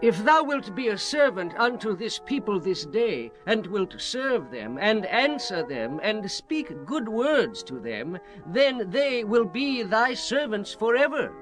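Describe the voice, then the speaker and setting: An earnest spokesman in a scripture drama, pleading formally with a king. The voice is high and very animated over soft music. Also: slow pace, few pauses, heavily acted. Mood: entreating. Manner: formal, entreating. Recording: music bed behind the voice.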